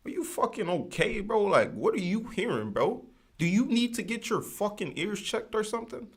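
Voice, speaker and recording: A man's voice: high voice, very animated, normal speed, slightly rough. A man hosting a livestream in casual, rambling slang with lots of fillers. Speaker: livestream host.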